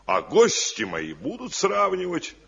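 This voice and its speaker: An actor playing a well-off village householder in a Soviet radio play, emphatic and theatrical. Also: male voice, high-pitched, huge pitch swings, measured pace, vocal fry, a bit bright, a little hiss or hum, exaggerated voice. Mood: declaring. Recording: dull, muffled 1970 radio play recording.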